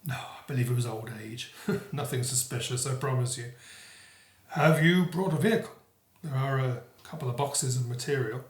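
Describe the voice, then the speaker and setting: A man performs an elderly retired policeman in an audio drama. He is kindly and wistful, with a modest, hesitant way of recalling old cases. His mid-pitched voice sits in a roomy, echoing space. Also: moderate pace, close to an everyday voice. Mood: helpful, reflective. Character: kindly elderly retired police sergeant turned true-crime author.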